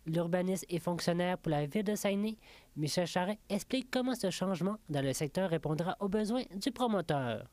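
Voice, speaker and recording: A woman reporter with a lowish voice who reads a news segment steadily and formally. The sound is dry and close to the mic. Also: lively intonation, fairly quick, darkish tone, dry recording.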